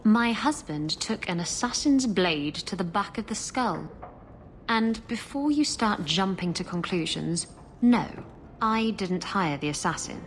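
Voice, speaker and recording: A woman's voice: medium pitch, expressive, unhurried, a little hiss or hum. An actress playing a sultry, guarded pirate woman in a video game, teasing and flippant. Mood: wry.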